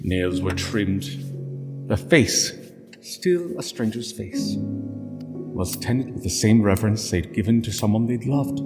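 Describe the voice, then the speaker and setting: A man narrating a literary story solemnly, in measured short phrases with dramatic pauses. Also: fairly low pitch. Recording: long reverb, music bed. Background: music.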